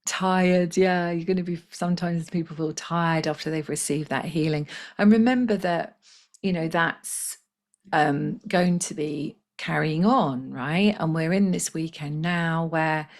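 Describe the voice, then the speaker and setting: A woman with a slightly croaky voice, hosting a live spiritual healing session in a gentle, chatty way and reading out viewers' comments. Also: low-pitched, measured pace. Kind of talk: casual live-stream talk.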